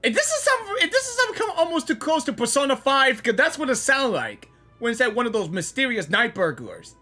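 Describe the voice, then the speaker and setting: Bright, high-voiced woman reacting to a game. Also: brisk, husky, soft background music. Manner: excitable, exclamatory. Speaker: gaming reaction video creator. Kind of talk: casual live reaction commentary.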